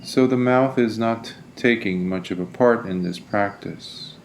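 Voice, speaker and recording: A man leading a guided meditation as a teacher, speaking in a gentle, deliberate, soothing manner. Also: on the low side, creaky, a little hiss or hum.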